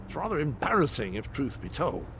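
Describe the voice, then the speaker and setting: A man's voice: mid-range voice, very animated, fairly quick, creaky, slight background noise. A man playing an eccentric, cheerfully boastful old British knight in a video game, speaking in a whimsical, theatrical manner with quaint turns of phrase. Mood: comically flustered. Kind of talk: video game character acting.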